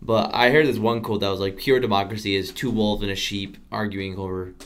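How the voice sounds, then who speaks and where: A man's voice: fairly low pitch, steady tone, a little fast. A young man in his twenties from the United States, co-hosting a casual podcast. He rambles, circles back on himself and fills gaps with likes and I means as he works out his point. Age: 20s. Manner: rambling, many restarts and fillers. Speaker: podcast co-host. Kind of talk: casual chat.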